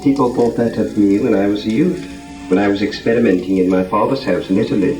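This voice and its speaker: A man plays a resolute inventor in a 1930s radio play, speaking formally and deliberately. The voice sits a little low. It comes from a crackly record, echoing at a distance. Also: darkish tone, lightly acted. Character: determined Italian inventor recalling doubters, leading his assistants. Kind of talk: 1930s radio drama acting. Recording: crackly 1930s record, echoey playback from afar.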